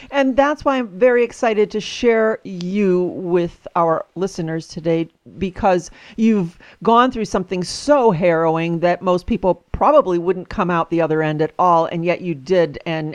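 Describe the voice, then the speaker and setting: Excited lady host, kinda low voice, laughs a lot, super dry recording. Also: moderate pace, no room sound. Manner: enthusiastic, warm praise, laughs often. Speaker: podcast host. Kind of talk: interview conversation.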